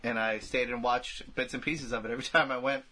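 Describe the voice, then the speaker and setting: A man's voice: mid-range voice, steady tone, brisk, bright, forceful tone, a little hiss or hum. A man co-hosting a podcast in casual, slangy chat, often repeating himself as he goes. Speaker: podcast co-host.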